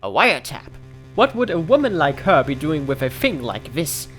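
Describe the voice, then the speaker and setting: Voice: medium pitch, big pitch swings, moderate pace, over loud music, close to an everyday voice. An amateur actor voicing an earnest, easily exasperated young male defense lawyer in a comedic game dub. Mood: suspicious.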